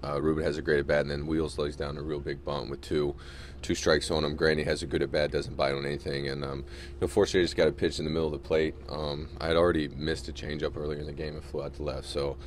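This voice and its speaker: Very deep, flat-voiced young ballplayer. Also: male voice, fast, slight background noise. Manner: quiet, filler-heavy recounting. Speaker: baseball player. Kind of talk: locker-room interview. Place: locker room.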